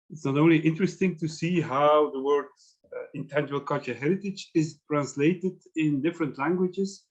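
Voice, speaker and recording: A man with a Flemish accent, a native Dutch speaker, giving an online academic lecture in a measured, thoughtful way that often trails off quietly. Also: a little high. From Belgium. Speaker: guest lecturer. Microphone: video call. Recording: muffled online call audio.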